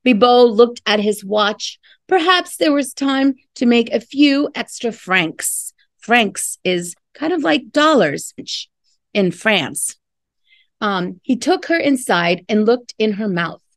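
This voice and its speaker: A woman's voice: a little high, lively intonation, takes it slowly, no echo. A middle-aged woman, a teacher reading a picture book aloud with chatty, friendly asides to young listeners. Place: indoors in a classroom.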